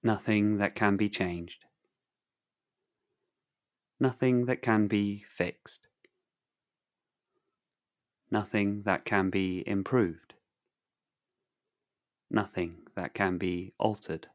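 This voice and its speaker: Male meditation guide, calm and soothing, low register, very slow with long pauses.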